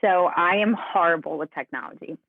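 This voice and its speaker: A woman's voice: on the low side, fairly quick, no room sound. A woman chatting casually as a co-host, her voice coming through thin, phone-like audio. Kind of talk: casual chat.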